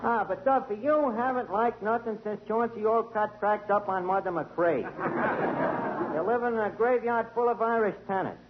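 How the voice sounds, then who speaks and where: A brash, wisecracking man with a high voice works the room on a 1940s radio comedy. He talks big and mangles his words. The old broadcast sounds dull and carries a faint hiss. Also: normal speed. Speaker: tavern manager and show host.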